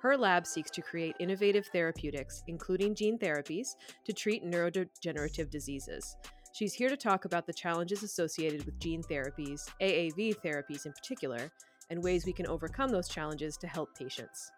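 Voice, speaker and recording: A woman podcast host speaks in a slightly low voice with some music in the recording. Her delivery is that of an interviewer, part prepared script and part conversation. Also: fairly quick.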